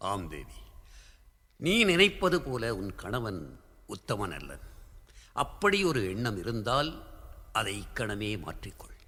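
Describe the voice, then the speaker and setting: A man's voice: on the low side, very animated, slow, pauses often, bright, forceful tone, exaggerated voice. An actor playing a proud, guilt-ridden king in a historical radio drama, declaiming formally. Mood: confessional. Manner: declamatory, formal classical diction. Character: proud, guilt-ridden Tamil king confessing to his queen. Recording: long hall-like reverb.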